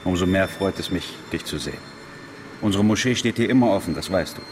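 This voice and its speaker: An actor playing a calm, fatherly imam who speaks in a gentle, measured, consoling way in a radio drama. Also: low voice, noisy background, natural voice. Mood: welcoming.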